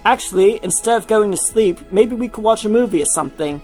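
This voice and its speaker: A woman's voice: medium pitch, animated, brisk, dark tone, faint music behind, lightly acted. A woman voicing an easygoing, supportive young male rock star in a fan fiction reading, casual and coaxing. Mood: suggesting.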